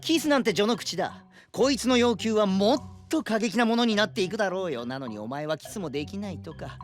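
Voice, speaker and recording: A woman playing a brash, crude young man with a rough, mocking way of talking in an anime audio drama. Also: mid-range voice, lively intonation, fairly quick, faint music behind, natural voice. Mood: taunting. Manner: rough, mocking, provocative speech.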